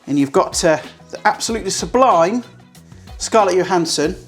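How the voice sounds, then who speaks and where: A man's voice: high-pitched, lively intonation, moderate pace. Man, home cook host, chatty, blunt, casual swearing, faint music behind. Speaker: cooking channel host. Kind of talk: casual cooking tutorial.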